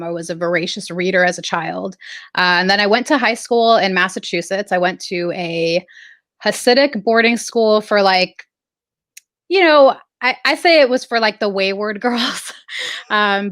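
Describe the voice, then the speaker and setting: A woman from Southern California, a guest artist, telling her life story in a reflective, halting way with many fillers. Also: medium pitch, a bit bright, no room sound, clean studio sound. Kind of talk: casual interview storytelling.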